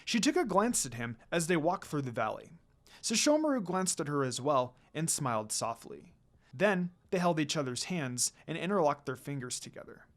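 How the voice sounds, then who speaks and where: A man narrating a fan fiction story in an expressive reading style. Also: a little high, moderate pace.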